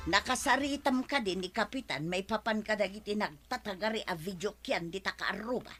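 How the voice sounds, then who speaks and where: A woman's voice: mid-range voice, brisk, lightly acted. A woman with an Ilocano Filipino accent playing a nagging, complaining village wife in a radio comedy drama. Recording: loud music bed behind the dialogue. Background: music.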